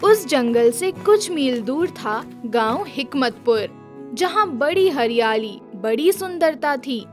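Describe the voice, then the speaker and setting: A woman narrating a children's story from a script. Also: high voice.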